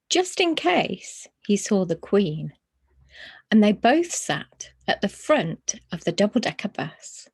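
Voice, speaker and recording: A woman's voice: medium pitch, slightly rough. A woman narrating a children's storybook as a story reader.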